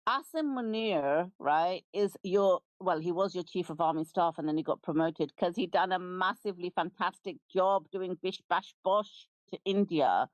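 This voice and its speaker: A woman's voice: fairly low pitch, moderate pace, no room sound, poor audio. A middle-aged British woman hosting a live audio space, lecturing and scolding a speaker in a heated debate. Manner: forceful, lecturing, interrupts and scolds.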